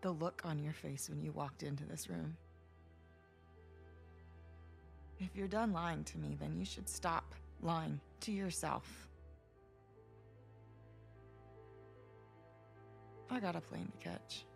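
An actress playing a sharp, hurt, no-nonsense American woman in her thirties, in cinematic video game drama. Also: deep voice, normal speed, gravelly, hardly any pauses. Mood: wounded, resigned. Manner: pointed, controlled, sardonic. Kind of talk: naturalistic cinematic game dialogue. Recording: music under the dialogue.

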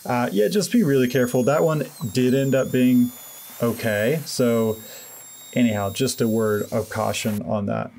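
A man's voice: mid-range voice, unhurried, gravelly, a little hiss or hum. Casual man narrating his DIY build. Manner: relaxed, self-deprecating, many fillers. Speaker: DIY renovation vlogger. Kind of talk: casual voice-over walkthrough.

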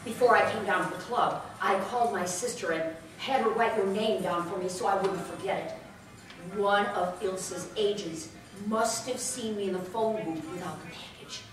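A woman's voice: mid-range voice, moderate pace, lightly acted. A woman playing an anxious young singer caught up with spies, speaking urgently in a live 1940s radio play. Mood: worried. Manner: urgent, worried. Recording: echoey hall from far off with music.

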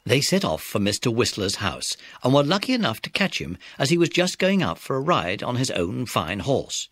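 A man's voice: medium pitch, big pitch swings, moderate pace, a bit bright, a little hiss or hum. A man with a British accent narrating a scripted children's story.

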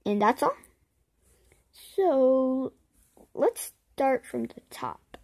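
A child with a high voice talks very slowly through a casual demo. The delivery is that of a young video host showing an app. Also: big pitch swings, a little mellow.

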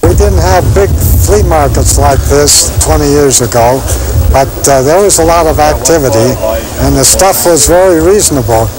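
Older American man outdoors, slightly high voice. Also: lively intonation, moderate pace, poor audio. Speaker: longtime radio collector. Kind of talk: casual interview recollection. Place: outdoor swap meet in a parking lot. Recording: outdoors with crowd and traffic.

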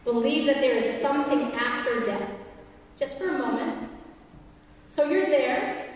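A middle-aged woman with a high voice delivers a reflective, conversational sermon, repeating phrases as she invites people in. She is heard from a distance in a large, very reverberant church hall, with a little noise behind her. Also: a little slow. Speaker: preacher. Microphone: distant camera in the audience. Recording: echoey hall from far off, some noise.

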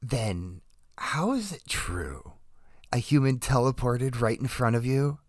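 A man's voice: medium pitch, expressive, takes it slowly, dry recording, clean studio sound. A man voicing a menacing, scornful fighter character for a demo reel.

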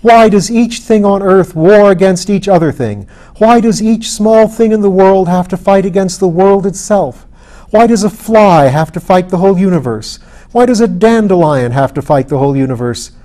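A man's voice: high-pitched, slow pace, few pauses, darkish tone, voice pushed up. A man reading a storybook, voicing an earnest defender of order in fervent, oratorical speech. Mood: fervent, indignant.

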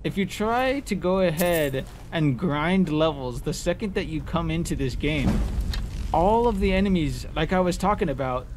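Higher-voiced man playfully commentating a game. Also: animated, normal speed, darkish tone. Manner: playful, taunting enemies, exclaiming. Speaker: gamer playing and commentating. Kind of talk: let's play commentary, casual chat to himself. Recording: clearly noisy background with game ambience.

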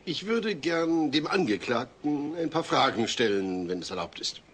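A man's voice: fairly high pitch, animated, normal speed, natural voice. An actor playing an exasperated family man as prosecutor in a German comedy audio drama, dry and insistent. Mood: measured. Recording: noisy old cassette audio drama.

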